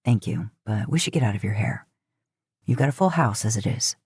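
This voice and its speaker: A woman voicing a gruff, laid-back, loyal grown man in a story. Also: a bass voice, animated, brisk, a little mellow, dry recording, clean studio sound, pitched below the performer's own voice, heavily acted. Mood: polite, firm.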